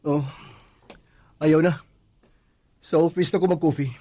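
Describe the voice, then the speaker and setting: An actor playing a curt, brooding household boss in a radio drama, with a Visayan Filipino accent. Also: male voice, a little high, steady tone, a little slow, mellow. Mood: curt, dismissive.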